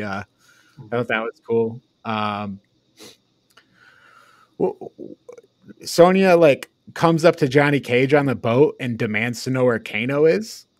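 A man chatting casually as a podcast co-host, haltingly thinking aloud through a review. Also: mid-range voice, no echo, studio quality.

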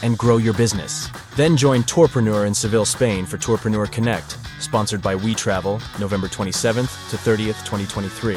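A man reading a scripted event ad in a smooth, persuasive promo delivery. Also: lowish voice. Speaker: ad announcer. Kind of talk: scripted ad read. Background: guitar music.

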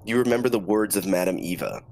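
Male DM with a cold, deep voice, solemn storytelling, faint background music. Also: a little mellow. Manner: slow, solemn scene-setting narration. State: has a cold. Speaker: dungeon master. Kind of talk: dramatic narration of a tabletop game.

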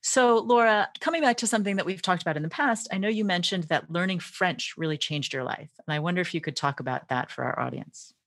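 A woman with a very low voice hosts a podcast interview. She sounds composed and speaks straight to the listeners. The recording is dry and close, with no room sound. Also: fast talker, few pauses, fairly bright tone, no echo. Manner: composed, addresses listeners directly. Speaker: podcast host. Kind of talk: interview hosting.

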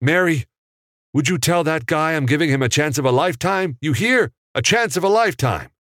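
A man's voice: medium pitch, animated, a little fast, dry recording, studio quality, voice pushed up, lightly acted. A man voicing an eager, pushy young actor reading lines off camera.

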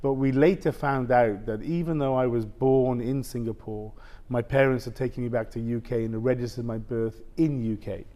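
A man in his fifties, a guest telling a personal story in casual conversation, halting and full of restarts. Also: medium pitch, fairly even intonation, warm tone. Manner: halting, with many restarts and "basically". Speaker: interview guest.

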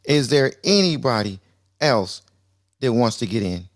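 A man's voice: medium pitch, sing-song, a little slow. A man hosting a casual live call-in discussion, playful and self-mocking, laughing easily as he passes the mic to callers. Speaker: host. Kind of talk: casual live audio-room chat.

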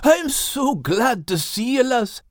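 A man voicing a hot-headed, impatient Scottish animal in an audiobook story, blurting and excitable but loving. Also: very high voice, slow pace, no room sound, clean studio sound, voice pushed up, full character voice. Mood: agitated, earnest. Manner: impulsive, blurting.

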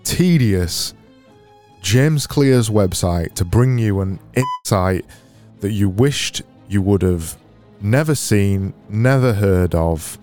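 Solo podcast host, man with a Barnsley accent, deep voice, deadpan mockery. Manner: sarcastic, deadpan self-mocking. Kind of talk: solo comedic podcast monologue.

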